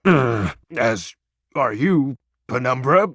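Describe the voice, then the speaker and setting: An actor playing a grandiose, nerdy, wistful self-styled dark overlord in a video game, with a husky, gravelly voice and overdramatic, archaic phrasing. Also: male voice, mid-range voice, lively intonation, slow pace, no echo, studio quality, exaggerated voice. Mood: flattered, flustered. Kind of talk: video game character acting.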